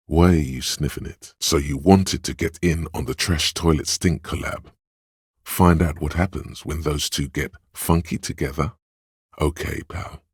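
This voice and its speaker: A wry inner nose voice for a game, super deep and raspy, dry close mic. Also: male voice, dry recording, exaggerated voice. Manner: sardonic, vivid second-person description. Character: disembodied inner sense of smell, male, wry. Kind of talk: game voice-over narration.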